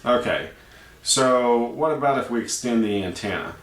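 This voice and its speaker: A man giving a casual, plain-spoken product review, explaining features step by step with hesitant pauses. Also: mid-range voice, over loud music. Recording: roomy echo, distant mic, faint noise.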